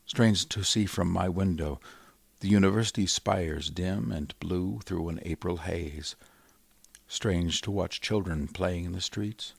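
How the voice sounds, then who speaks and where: A man playing a sombre, educated survivor in an old-time radio drama, speaking in a solemn, measured, literary way. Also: low-pitched, vocal fry, slightly rough, dry recording, full character voice. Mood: solemn, reflective. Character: sombre, educated male survivor recounting a Martian invasion.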